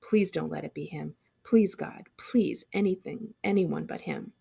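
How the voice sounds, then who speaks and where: A woman reading aloud as a tense, defensive teenage girl telling her own story, with a dramatic, expressive delivery. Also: sits low, measured pace, creaky, slightly rough, heavily acted. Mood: anxious pleading. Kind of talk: first-person audiobook reading.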